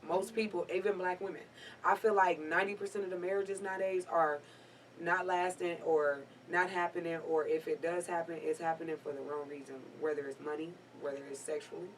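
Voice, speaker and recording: A woman in her twenties speaking candidly in a casual panel chat, laid-back with drawn-out phrasing. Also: on the low side, steady tone, recorded from a distance, a little hiss or hum, poor audio. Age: 20s. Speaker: guest panelist.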